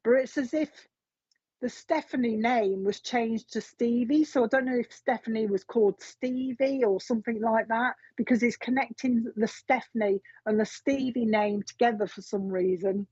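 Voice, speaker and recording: A woman talks in a chatty, rambling way and peppers her speech with fillers. Her voice sits a little high and sounds husky. The recording is dry and slightly dull. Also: normal speed, warm tone, no echo. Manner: chatty, relaying messages with frequent fillers and asides. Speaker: medium giving a reading. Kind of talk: spoken psychic reading.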